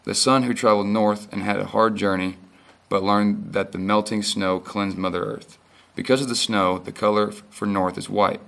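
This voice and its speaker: A young man student reading a creation myth aloud for a class, steady with occasional stumbles. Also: lowish voice, normal speed, vocal fry. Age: young adult. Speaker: student reader.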